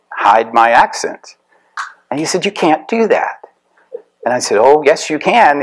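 A middle-aged man from Tennessee gives a class lecture at a podium. His voice sits in a middle pitch, and his delivery is chatty and animated. He is heard through a podium microphone in an indoor lecture room. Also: poor audio. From Tennessee, USA. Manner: conversational, animated.

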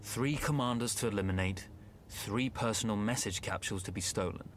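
An actor voicing a young British officer who narrates and plans in a measured, dry way. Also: male voice, on the low side, slight background noise, close to an everyday voice. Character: calm, wry British officer, male, young, leading rebels. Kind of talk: scripted game narration and dialogue. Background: game score music.

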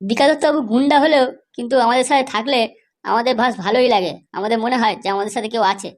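Gangster's chattering sidekick for comedy cartoon, male actor: deferential, complaining, very high register, breakneck pace. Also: fast. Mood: complaining, relieved.

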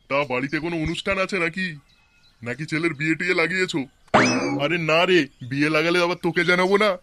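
A man voicing excitable village fathers and neighbours in a comic Bangla cartoon, chatty and theatrical. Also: a little high, background noise, close to an everyday voice.

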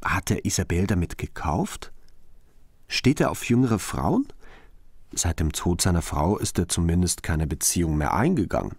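Crime audio drama: analytical man investigating, lowish voice, lively intonation, pointed and factual, dry close sound. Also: normal speed, slightly rough, no room sound, heavily acted. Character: analytical male investigator reasoning through case facts. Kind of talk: radio crime drama monologue.

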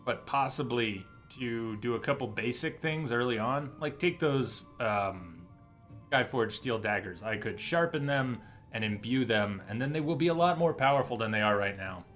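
A man in his thirties gives casual live game commentary. His voice is mid-pitched, and he muses aloud over his choices. Faint game music plays behind him. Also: animated, normal speed, fairly bright tone. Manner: musing, thinking aloud. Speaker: game livestreamer. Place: indoor streaming setup. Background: game music and ambience.

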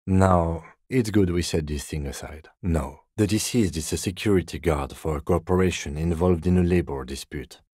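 An actor playing a composed, dry-witted middle-aged police detective in a video game, speaking in a measured, formal, deadpan way. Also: male voice, low-pitched, husky, dry recording. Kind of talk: video game character dialogue.

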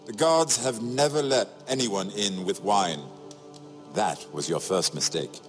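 An actor playing a wry, shrewd middle-aged imprisoned nobleman in a video game, sardonic and theatrical, phrasing each remark deliberately. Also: lowish voice, natural voice. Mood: accusing. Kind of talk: dramatic video game dialogue. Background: music.

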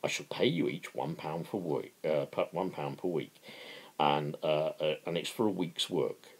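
A man voicing a working man who patiently lectures as a pretend capitalist in a novel, emphatic and explanatory, with a gravelly voice. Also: rumbling low, normal speed, heavily acted.

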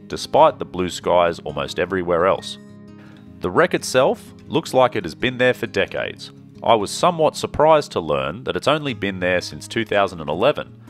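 Deep-voiced man narrating a road trip. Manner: calm, measured storytelling. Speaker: travel vlogger. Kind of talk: scripted travel narration.

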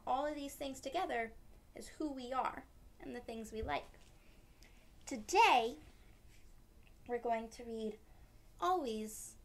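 A young adult woman hosting a children's read-aloud, explaining things simply and expressively as she goes. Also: on the high side. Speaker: storytime host, teacher. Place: small decorated room indoors.

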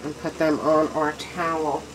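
A woman's voice: deep voice, little pitch movement, a little slow, a little hiss or hum, low-quality recording. A woman hosting a casual home cooking demonstration in her kitchen, chatty and enthusiastic, talking to her viewers as she works at the stove. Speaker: home cooking show host.

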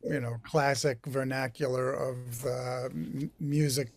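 A man's voice: medium pitch, level tone, slow, a little mellow. A man in his sixties, a casual interview guest who halts and searches for his words. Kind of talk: casual conversational answer. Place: home recording studio, indoors. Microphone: video call.

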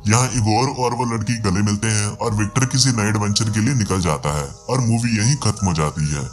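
A man's voice: lowish voice, brisk, soft background music. A man giving a scripted narration of a film's story as a recap narrator.

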